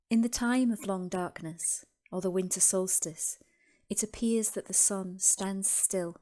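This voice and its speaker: A woman narrating a scripted guided meditation as host, with deliberate, reverent phrasing and pauses. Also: on the low side, warm tone.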